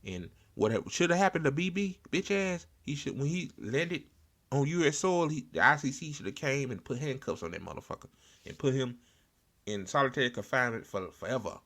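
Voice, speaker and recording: A man hosting an informal political talk show, speaking in a blunt, sarcastic, profanity-heavy way with halting repetition. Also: medium pitch, lively intonation, fairly bright tone. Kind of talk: informal political rant with commentary.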